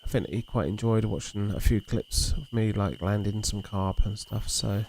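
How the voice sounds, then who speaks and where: A man with a gravelly, low voice chats easily to his viewers while streaming a fishing game. His tone is mellow and rambling, and a faint outdoor hum sits under his voice. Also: moderate pace. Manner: relaxed, rambling, talks to chat and reads messages aloud. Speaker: livestream host.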